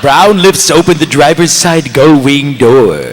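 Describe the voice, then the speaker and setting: A man doing a celebrity impression as a grandly amused narrator, theatrical, campy and drawn-out. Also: on the high side, faint music behind. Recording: live stage, long hall reverb.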